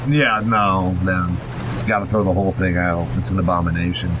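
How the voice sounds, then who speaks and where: A man with a lowish voice chats as a comedy podcast co-host. His joking is wry and mock-serious, sometimes crude, as he riffs on a story. There is clear rumbling noise in the recording. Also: fairly even intonation, moderate pace. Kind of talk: casual comedic riffing and story reading. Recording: noisy, low rumble behind the voice.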